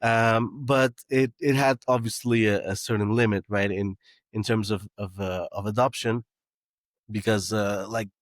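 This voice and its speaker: A man's voice: on the low side, fairly even intonation, unhurried, no echo, clean studio sound. A man who grew up in Canada, a startup founder talking as a podcast guest, with many restarts and fillers. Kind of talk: casual interview talk.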